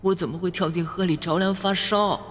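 A man's voice: high-pitched, fairly even intonation, a little fast, a bit bright. A young man acting a sullen, bitter, easily exasperated teenage boy in a Chinese audio drama. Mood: resentful.

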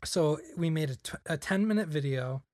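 A man's voice: a little high, expressive, normal speed, darkish tone, dry recording. Young man rambling casually, dry mic. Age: young adult. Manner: rambling, lots of "like" fillers. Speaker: podcast guest. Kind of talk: casual chat.

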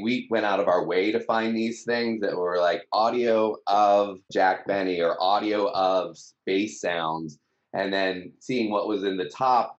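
A man explaining casually in an interview as a startup team member, on a slightly dull recording. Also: deep voice.

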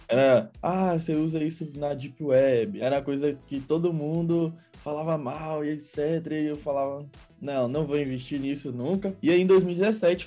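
A young Brazilian man talks as an interview guest, rambling informally as he explains. His voice is mellow and a touch high, with faint music behind it. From Salvador, Bahia, Brazil. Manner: informal, rambling, quoting himself in dialogue. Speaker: interview guest, crypto investor. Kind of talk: casual chat, explaining.

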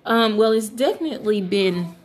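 Young woman in her twenties, earnest, hesitant, mid pitch. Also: animated, normal speed, darkish tone. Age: 20s. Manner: earnest, hesitant with many fillers. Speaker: pastor's wife and church first lady. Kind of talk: interview answer, casual and reflective.

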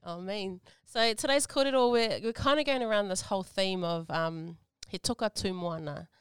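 A woman with a New Zealand accent hosting a casual interview, relaxed and friendly, mixing in Māori greetings. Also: medium pitch, moderate pace, smooth, dry recording, studio quality. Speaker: host.

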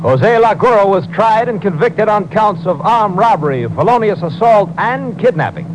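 Old radio guy reading official report, high voice, formal, noisy recording. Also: very animated, moderate pace. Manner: official, declarative. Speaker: announcer reading case results. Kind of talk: formal 1950s scripted narration. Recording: noisy vintage radio broadcast.

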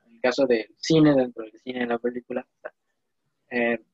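A young man with a Mexican accent chatting casually in slangy, digressive, animated storytelling. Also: mid-range voice, moderate pace, dry recording, muffled recording. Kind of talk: casual chat.

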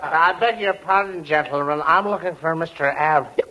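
A polite, fumbling messenger in a 1940s radio comedy. His voice is sharp and bright, with noticeable echo on a muffled old recording. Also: fairly high pitch, normal speed. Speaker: supporting comic actor. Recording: echoey, dull vintage radio recording.

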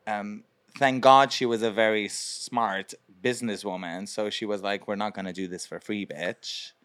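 A young man from Belgium, a drag performer, chatting in an interview with many fillers and lively quoted asides. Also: fairly low pitch, normal speed, clear, resonant tone. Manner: chatty, lots of fillers, animated quoting. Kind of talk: casual interview.

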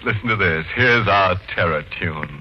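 An actor playing a gleefully morbid, mock-genial horror host in 1940s radio style, with theatrical delivery. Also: male voice, sits low, moderate pace, noisy background, lightly acted. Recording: dull old radio recording, music behind.

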